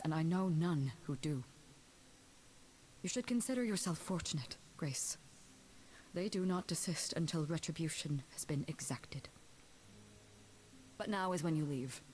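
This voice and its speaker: An imperious ancient goddess in a dramatic video game, speaks in a lowish female voice. Her manner is formal, cold and portentous, with some background noise in the recording. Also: a little mellow, rough recording.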